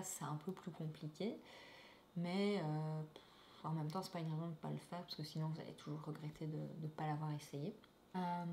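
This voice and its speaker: A young Belgian woman answers viewer questions in a relaxed, meandering way, full of "euh" and restarts. Her voice sits low, and her French accent is Belgian with a few Québec turns. The room gives an echo, with a faint hiss behind her. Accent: Belgian French, lightly tinged by Québec. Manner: chatty, many fillers and restarts. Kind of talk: casual vlog talk.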